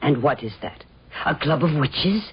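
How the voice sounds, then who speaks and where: A woman's voice: low-pitched, expressive, moderate pace, slight background noise. A woman playing an insolent, teasing young actress, ambitious yet wary, in a radio drama. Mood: cool, wary. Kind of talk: radio drama acting, with diary narration. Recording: muffled old radio drama, faint music behind.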